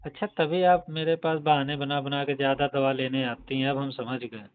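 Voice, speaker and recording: A man's voice: medium pitch, flat delivery, moderate pace, clean tone, natural voice. A man playing a local doctor who is colloquial, reassuring and slyly suggestive in a staged romantic phone-call roleplay. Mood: flirtatious. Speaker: doctor advising a patient.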